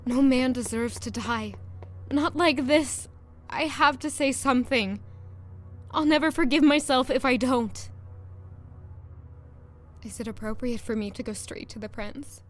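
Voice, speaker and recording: An actress playing an earnest, nervous young palace maid who narrates and speaks in a first-person audio drama. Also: female voice, sits high, a little slow, pauses often, soft background music. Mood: anxious, torn.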